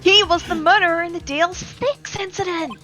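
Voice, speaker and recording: A woman voices a young female sidekick in a game fan dub. She is bubbly and excitable, pitched high. Music is clearly heard behind her. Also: brisk. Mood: excited revelation. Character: eager, excitable young female legal assistant.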